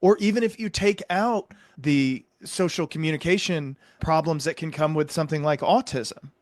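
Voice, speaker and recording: A man speaking as a medical provider and interview host, explaining his views in an earnest, measured, conversational way. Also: a little high, no echo. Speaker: psychiatric provider and podcast host.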